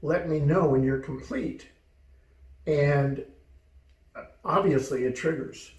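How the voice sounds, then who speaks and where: Man, higher voice, distant roomy sound. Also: slow pace. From United States. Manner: joking, storytelling, reflective. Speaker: co-host. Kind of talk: casual chat.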